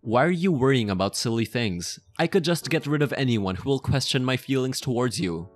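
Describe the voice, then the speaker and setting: A man playing a possessive, lovestruck mafia boss in an intimate ASMR roleplay, speaking softly and coaxingly, obsessive yet tender. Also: medium pitch, animated, soft background music, lightly acted. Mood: pleading, lovestruck. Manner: slow, soft, coaxing, close and intimate.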